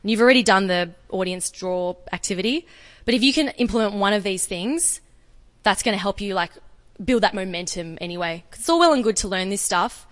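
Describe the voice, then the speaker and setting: Australian female speaker, lively and self-deprecating, mid-pitched, live hall sound. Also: brisk. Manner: energetic, self-deprecating, chatty. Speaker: guest speaker. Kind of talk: live talk and audience Q&A. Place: conference room with audience. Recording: reverberant hall.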